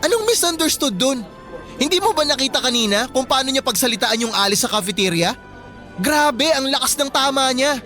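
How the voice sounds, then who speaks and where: A man's voice: extremely high pitch, lively intonation, fairly quick, bright, forceful tone, full character voice. A man acting an earnest, disapproving young boyfriend in a radio drama, with a Filipino accent and an insistent, emotional delivery. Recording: music bed behind the voice.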